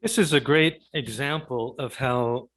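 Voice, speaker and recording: A man's voice: mid-range voice, measured pace, a bit bright. A man hosting an interview show, politely wrapping up and asking his guest for a recommendation. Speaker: podcast host. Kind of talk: closing interview remarks.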